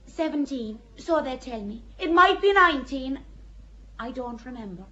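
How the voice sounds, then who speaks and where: A devout young peasant girl, Joan, plain-spoken and calmly certain of herself, as an actress voices her. Her voice sits fairly high, and she answers challenges with quiet defiance rather than raising it. The recording sounds dull and slightly lo-fi, like an older audio drama. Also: normal speed. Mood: earnest. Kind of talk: radio drama acting.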